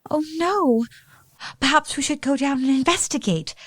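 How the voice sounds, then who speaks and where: An actress playing a genteel, romantic young bride-to-be in a radio play, with gushing, proper period diction. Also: female voice, high voice, normal speed, no room sound, studio quality, close to an everyday voice. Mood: eager, curious.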